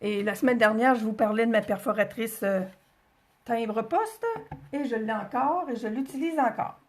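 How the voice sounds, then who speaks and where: A woman with a Quebec French accent speaks in a fairly high voice with a distinctly husky, breathy grain. She hosts a casual craft demonstration, explaining each step informally. Her delivery is chatty, and she often thinks out loud. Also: moderate pace. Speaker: craft tutorial host.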